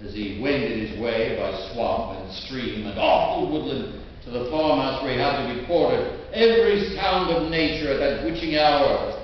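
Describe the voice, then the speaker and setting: A man giving a theatrical live reading of a literary tale as a storyteller, expressive, with dramatic pauses and drawn-out phrases. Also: mid-range voice. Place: inside an old stone church. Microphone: distant mic in a large room. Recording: very echoey church, distant mic, dull, faint noise.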